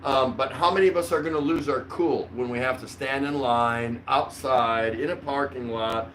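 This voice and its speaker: An older man hosts a relaxed livestream from a small indoor room. He rambles conversationally with a joking, mildly sweary manner. A light layer of background noise sits behind his voice. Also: medium pitch, normal speed. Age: older, around 60. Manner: rambling, jokey, mildly profane. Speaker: livestream host. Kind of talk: casual livestream chat.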